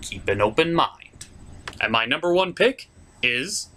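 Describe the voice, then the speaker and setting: A man's voice: a little high, big pitch swings, measured pace, pushed and bright, slight background noise. A man hosting a top 10 countdown, giving opinionated video game commentary in an informal, emphatic way. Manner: informal, emphatic, with mild swearing.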